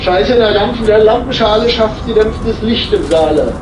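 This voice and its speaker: A man's voice: sits high, moderate pace, close to an everyday voice. A man playing a carefree, self-satisfied airline passenger in a radio play, speaking in exclamations. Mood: self-pleased, exclaiming. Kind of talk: improvised radio play dialogue. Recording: echoey small cabin, distant, noisy.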